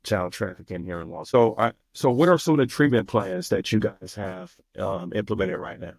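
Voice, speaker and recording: A man's voice: fairly low pitch, moderate pace, no echo, studio quality. A man hosting a family session, asking probing questions in a direct, coaching manner. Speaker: host counseling a family. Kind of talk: counseling talk.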